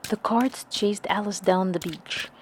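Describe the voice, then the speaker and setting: A woman's voice: mid-range voice, unhurried, slight background noise. A woman narrating a children's storybook aloud as a read-along.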